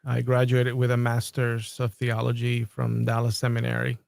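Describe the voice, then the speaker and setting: A man from Colombia who talks in a measured, thoughtful way, with some restarts, in a casual podcast conversation. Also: medium pitch, monotone, gravelly, a little mellow, dry recording, clean studio sound. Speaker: podcast host.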